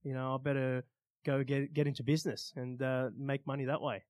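Male Australian storyteller, conversational, average pitch, unhurried hesitations between phrases, dry room sound. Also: level tone, a little mellow, no room sound. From Australia, born in Iran. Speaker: interview guest, stunt pilot and motivational speaker. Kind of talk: casual storytelling in an interview.

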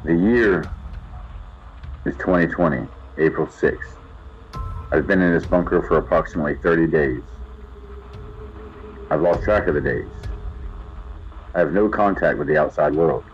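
Deep-voiced lonely bunker survivor played by a man. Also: normal speed, pauses often. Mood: weary, flat. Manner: formal radio-log delivery in short statements. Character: lonely, bored male survivor broadcasting from an underground bunker. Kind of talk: audio drama monologue and radio dialogue. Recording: muffled hand-held radio sound with noise.

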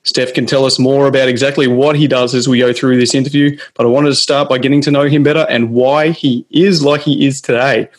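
A man hosting a podcast, reading a scripted intro slowly, then asking casual interview questions. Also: mid-range voice, steady tone. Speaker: podcast host.

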